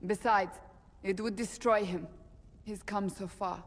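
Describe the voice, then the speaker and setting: A woman's voice: mid-range voice, steady tone, measured pace. An actress playing a blunt, principled female warrior of a holy order in a game, firm and formal in manner. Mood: grave, persuasive. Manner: firm, formal, matter-of-fact. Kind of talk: dramatic game voice acting. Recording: long reverb.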